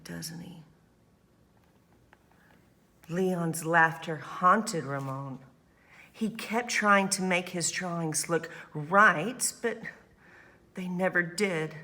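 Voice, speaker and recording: Female storytime teacher, slightly low pitch, very measured pace, expressive child-directed read-aloud. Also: creaky, a little hiss or hum. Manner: expressive, simple child-directed explanations and questions.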